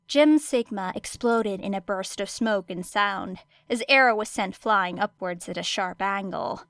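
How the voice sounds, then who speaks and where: A woman's voice: medium pitch, measured pace, a bit bright, dry recording, clean studio sound. Female audiobook narrator for scripted fiction, mid-range voice, no room sound.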